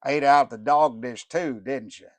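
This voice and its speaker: A man doing a hulking, slow-drawling inmate. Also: medium pitch, dry recording, natural voice. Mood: sour. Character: large, beastly male inmate, slow and sour.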